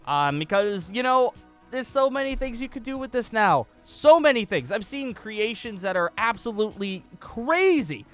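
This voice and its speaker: A young man giving a casual, enthusiastic video tutorial, excitable and emphatic, often repeating himself. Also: high voice, normal speed, soft background music. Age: young adult.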